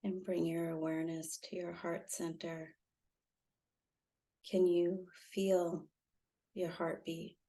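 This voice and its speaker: Female meditation guide, low and dark-toned, soothing, with spacious pauses. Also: flat delivery. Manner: soft, soothing, gently instructive with long pauses. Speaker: meditation guide, intuitive healer.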